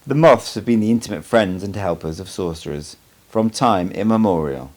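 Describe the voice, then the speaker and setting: A man's voice: deep voice, lively intonation, moderate pace, darkish tone. A man voicing a cryptic sorcerer teacher in an audiobook, speaking in a dry, instructive manner. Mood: patient, explaining.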